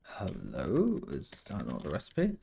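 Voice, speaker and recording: A man's voice: sits low, huge pitch swings, a little slow, gravelly, mellow, slight background noise. A man streaming a survival game, chatting to viewers and thinking aloud as he plays, sounding a bit nasal and sniffly from a cold. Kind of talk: casual gameplay commentary.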